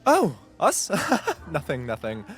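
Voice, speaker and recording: A man's voice: on the high side, huge pitch swings, slow, faint music behind, exaggerated voice. An actor playing a gossipy, teasing young man in a comedy audio drama, shamelessly insinuating. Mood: awkward gossip.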